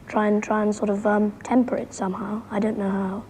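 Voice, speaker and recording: Mellow, hesitant British teenage girl. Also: mid-range voice, flat delivery, brisk, slight background noise. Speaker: young interviewee. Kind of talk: thoughtful interview answer. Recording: 1960s archival interview recording.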